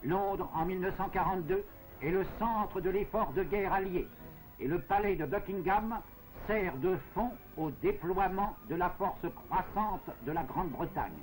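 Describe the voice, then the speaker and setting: A man delivering formal, measured, scripted narration in the declamatory style of a 1940s propaganda film. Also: sits high, poor audio. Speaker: newsreel narrator. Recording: 1940s film soundtrack with music. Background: music.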